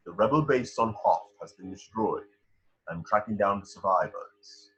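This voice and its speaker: A man playing an imposing, cold dark lord in an amateur script reading, formal and menacing. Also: on the low side, normal speed, husky, muffled recording. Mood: cold, commanding. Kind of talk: amateur script table read.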